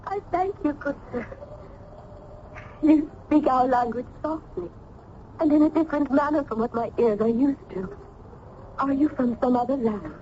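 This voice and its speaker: An actress playing a sheltered, shy young maiden in a radio drama, curious and wistful, with formal, hesitant speech. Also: female voice, sits high, moderate pace, long pauses, close to an everyday voice. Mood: shy curiosity. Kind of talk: old-time radio drama acting. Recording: dull, noisy old radio recording.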